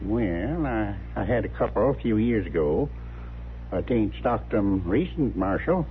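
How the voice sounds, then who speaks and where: An actor playing a chatty, obliging frontier storekeeper in a 1950s radio western, with folksy, rustic phrasing. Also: male voice, on the low side, animated, measured pace. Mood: chatty, amused. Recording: tinny old radio recording, faint hum.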